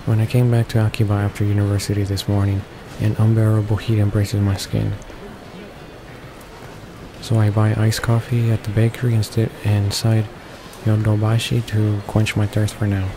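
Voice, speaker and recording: Low, flat male read-along voice. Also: noisy background. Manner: flat, halting read with stumbles and repeats. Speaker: let's play host reading along. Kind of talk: reading visual novel text aloud. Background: game music.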